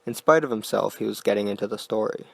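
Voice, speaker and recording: A man narrating a scripted horror story in a quiet, deliberate manner. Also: lowish voice, creaky, slightly rough, no room sound.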